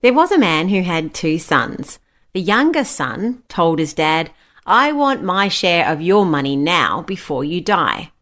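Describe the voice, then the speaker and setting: A woman's voice: fairly low pitch, big pitch swings, measured pace, a bit bright. A woman narrating a scripted children's Bible story and acting out the quoted speech. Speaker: storyteller, a mother.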